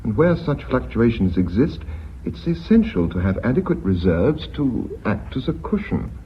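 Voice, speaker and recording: An actor playing a fair-minded, conciliatory company director in a radio drama, measured, courteous and formal. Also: male voice, medium pitch, very animated, close to an everyday voice. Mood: courteous, reasoned. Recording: dull, noisy radio recording.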